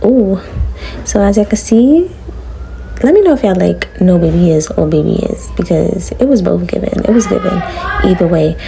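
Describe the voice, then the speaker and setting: A young woman with a raspy voice chatting casually to viewers as she styles her hair, relaxed and full of slang, in a small room. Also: fairly low pitch, moderate pace, noisy background. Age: young adult. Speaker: beauty vlogger. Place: small room before a green screen.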